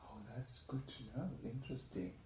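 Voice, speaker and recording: A man's voice: medium pitch, lively intonation, normal speed. A man hosting a podcast, interviewing guests in a conversational style. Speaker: podcast host and journalist. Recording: echoey room, distant mic, faint noise.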